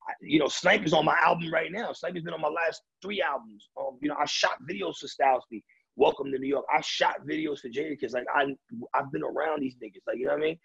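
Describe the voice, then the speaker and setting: A man with a deeply gravelly, husky rasp talks loosely and streetwise, stumbling through repeated starts and fillers. His voice sits a bit high for a man. The recording is dry and dull. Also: fast talker, fairly bright tone, no room sound. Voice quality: raspy. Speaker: rapper and DJ interviewee. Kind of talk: casual interview talk.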